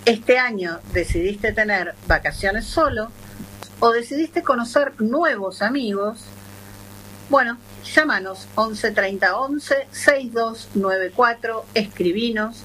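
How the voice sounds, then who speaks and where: A woman's voice: on the high side, slow, a bit bright, a little hiss or hum, poor audio. A woman with an Argentine accent giving a travel column on a radio show, talking in an upbeat, promotional way. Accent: Argentine Spanish. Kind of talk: casual radio chat.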